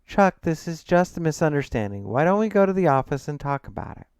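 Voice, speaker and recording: A man's voice: a little high, sing-song, fairly quick, a little mellow, clear, resonant tone, no echo, clean studio sound, pitched above the performer's own voice. A man voicing a concerned, conciliatory middle-aged mother in an audiobook, with a placating manner.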